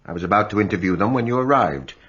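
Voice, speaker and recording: A formal, probing magistrate. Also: male voice, fairly low pitch, expressive, quick pace, a bit bright, husky. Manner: formal, probing, insistent questioning. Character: formal examining magistrate, middle-aged man. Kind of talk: radio drama acting. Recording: dull studio audio play.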